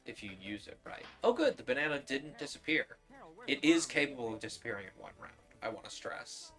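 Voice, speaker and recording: A man's voice: mid-range voice, very animated, brisk, a bit bright. A man streaming a game, thinking aloud and explaining his choices in casual commentary. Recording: music and radio drama behind.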